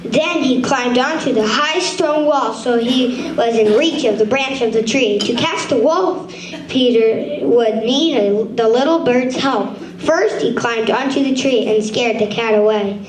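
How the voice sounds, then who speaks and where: High-voiced woman storyteller in an echoey room. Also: unhurried. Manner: stumbles over words and rereads phrases. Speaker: storyteller, puppet show narrator. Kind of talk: scripted story read aloud for a children's shadow puppet show. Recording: echoey room, far from mic, noisy.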